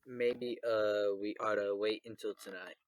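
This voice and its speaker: A man playing a gruff, impatient, bickering father in a radio drama. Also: lowish voice, level tone, measured pace, no echo, natural voice, poor audio. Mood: awkward, evasive.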